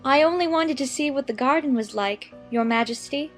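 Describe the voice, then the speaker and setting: A polite, inquisitive young girl, acted by a woman in a children's audiobook. Her voice is very high and sounds earnest and innocent, with faint music underneath. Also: moderate pace, natural voice. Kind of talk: dramatized children's audiobook acting.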